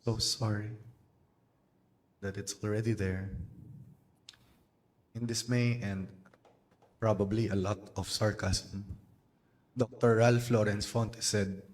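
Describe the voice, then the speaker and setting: Slow, deliberate Filipino man reciting poetry. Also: on the low side, flat delivery. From Philippines. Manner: slow, deliberate delivery with pauses. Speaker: spoken word poet. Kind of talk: spoken word poetry performance. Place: small cafe, live event.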